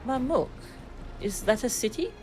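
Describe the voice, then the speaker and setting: A woman voicing an earnest, expressive young princess from a video game. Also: a little high, a little slow, soft background music, pitched above the performer's own voice, low-quality recording. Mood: anxious, surprised. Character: earnest, excitable young princess afraid of flying. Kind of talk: video game dialogue read aloud.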